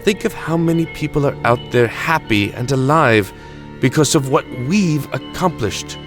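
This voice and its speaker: A man's voice: medium pitch, animated, normal speed, music in the background, natural voice. A man playing a weary, grandiose time-traveling alien emperor in an audio drama, self-justifying and reflective. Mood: proud, reflective.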